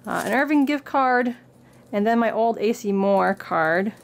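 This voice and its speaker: A woman's voice: fairly high pitch, animated, measured pace, gravelly, a little hiss or hum. A young woman getting over a cold, casually showing viewers what she carries in her bag. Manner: reads book excerpts with upbeat lift, then chats plainly. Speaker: craft vlogger. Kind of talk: casual show-and-tell. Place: indoor room against a plain backdrop.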